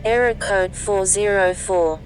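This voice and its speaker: A superior machine mind, acted by a woman speaks in precise, detached phrases for a science fiction audio drama. The pitch is medium, and music plays clearly beneath the voice. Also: unhurried, close to an everyday voice, poor audio. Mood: cool, official. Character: cool, logical machine intelligence, rule-bound and superior.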